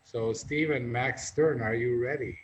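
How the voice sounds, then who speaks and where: A man's voice: medium pitch, fairly even intonation, a little slow, slight background noise, poor audio. Joking male host on a video call. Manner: informal, joking. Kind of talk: casual interview chat.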